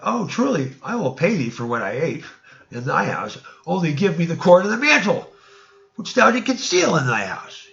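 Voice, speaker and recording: A man's voice: a little high, very animated, normal speed, creaky, a bit bright, reverberant, dull audio, pitched above the performer's own voice, full character voice. A man voicing a wronged traveler in a storybook, pleading and protesting in archaic speech. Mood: indignant pleading.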